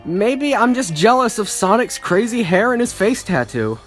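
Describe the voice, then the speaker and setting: A mid-pitched speaker reads aloud in an amused, informal way, prone to giggles. The delivery moves between reading and casual banter. Faint guitar-led music plays behind the voice. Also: sing-song, normal speed. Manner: giggly, breaks into laughter while reading. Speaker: video creator reading a script aloud. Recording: background music under the voice.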